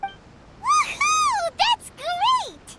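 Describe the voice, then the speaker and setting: A woman voicing a small, excitable, childlike fairy companion with a squeaky voice in an anime video game. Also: very slow, very noisy, full character voice. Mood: excited. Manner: speaks of self in third person, exclaiming. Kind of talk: English anime game voice acting.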